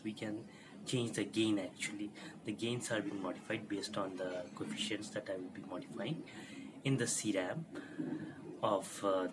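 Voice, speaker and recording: A man giving an informal technical walkthrough as presenter of an electronics demo, explaining haltingly and repeating himself. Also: fairly low pitch, noisy background. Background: music playing from the demo.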